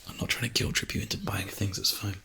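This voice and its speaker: Guy doing soft asmr shop assistant bit, super croaky fry, close binaural mic w hiss. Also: fairly low pitch, steady tone, fast talker. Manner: casual, reassuring, hesitant and chatty. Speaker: ASMR performer playing a personal shopper. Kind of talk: softly spoken ASMR sales role play. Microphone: binaural microphone, close.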